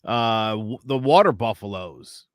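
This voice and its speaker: A man co-hosting a casual podcast, bringing up topics and telling stories in a relaxed way. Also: fairly low pitch, a little slow, no room sound, clean studio sound. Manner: relaxed, storytelling, raises topics. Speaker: podcast co-host.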